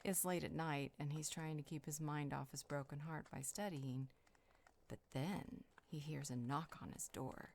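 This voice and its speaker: A woman's voice: deep voice, fairly even intonation, normal speed, creaky, a little hiss or hum. A woman playing an affectionate, teasing, soothing vampire healer in an intimate audio roleplay. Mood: storytelling, soothing. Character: warm, playful, caring female vampire healer.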